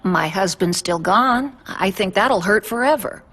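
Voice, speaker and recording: An actress playing a caring older widowed aunt in a game drama, gentle but firm, earnest and reassuring. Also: female voice, medium pitch, animated, measured pace. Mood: sorrowful, hopeful. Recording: music behind.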